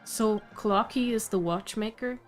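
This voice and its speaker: A woman's voice: mid-range voice, a little slow, soft background music. A woman streaming a game, musing aloud and reacting casually to the story.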